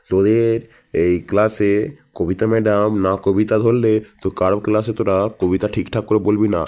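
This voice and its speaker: A man's voice: on the low side, warm tone, natural voice. A man voicing a strict, hot-tempered schoolteacher in a comic cartoon, scolding and blustering. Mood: stern, instructing. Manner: scolding, blustering, coarse-tongued. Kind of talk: comic cartoon dialogue in Bengali village school skit.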